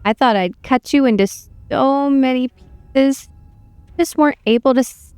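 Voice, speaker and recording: A woman's voice: sits high, measured pace, darkish tone, clear, resonant tone, no room sound, soft background music. A woman voicing a menacing, eager princess who taunts and relishes violence in a dramatic game script reading. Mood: taunting.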